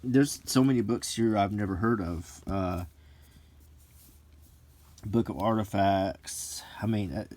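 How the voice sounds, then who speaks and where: A man's voice: lowish voice, slow pace, long pauses, a little mellow. A middle-aged man talking casually and hesitantly in an unscripted, ASMR-like way, musing as he reviews something. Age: 40s to 50s. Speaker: host of a hobby video.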